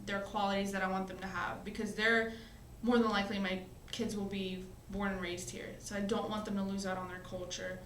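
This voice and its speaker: A woman's voice: mid-range voice, level tone, brisk, few pauses, a little hiss or hum. Reflective young woman, roomy sound. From born in Syria, raised in the US. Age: 20s. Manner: conversational, reflective, frequent "um" and "you know" fillers. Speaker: college student, interviewee. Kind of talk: personal storytelling interview. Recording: roomy echo.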